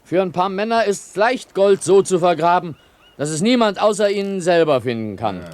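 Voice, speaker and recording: An actor in a 1970s German radio play, playing a distrustful pirate sailor who challenges others with suspicion. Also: male voice, high voice, expressive, normal speed, dry recording. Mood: suspicious, defiant. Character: distrustful, defiant pirate sailor, leader of dissenters.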